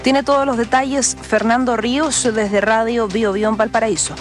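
Female radio news anchor, Chilean, slightly high voice, scripted reading over faint music. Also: steady tone, moderate pace.

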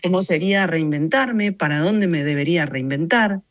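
A woman in her fifties with an Argentine Rioplatense accent and a fairly low voice. She gives reflective, conversational interview answers, explaining her points with emphasis and often checking in with the listener. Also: animated, normal speed, lo-fi sound. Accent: Argentine Rioplatense Spanish. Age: 50s. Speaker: interview guest, journalist and communications consultant.

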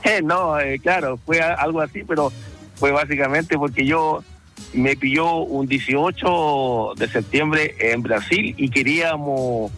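A man with a Chilean accent, a truck driver telling his story in a relaxed interview, pausing often with fillers. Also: a little high, faint music behind. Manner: hesitant, many fillers, storytelling. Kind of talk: casual phone-in interview.

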